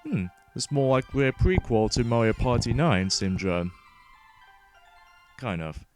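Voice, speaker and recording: A man's voice: mid-range voice, expressive, measured pace, darkish tone. A man hosting a gaming let's play, talking in a wordy, rambling way and stumbling over words as he comments and reads along. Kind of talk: gameplay commentary and read-aloud narration. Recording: music playing behind the voice. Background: video game music.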